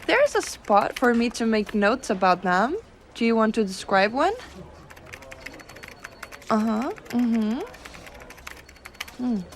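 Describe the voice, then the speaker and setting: A woman playing a gentle, teasing giantess secretary in an ASMR roleplay, coaxing and cooing softly. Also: a little high, expressive, a little hiss or hum. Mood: gentle, attentive. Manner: soft coaxing, cooing, unhurried.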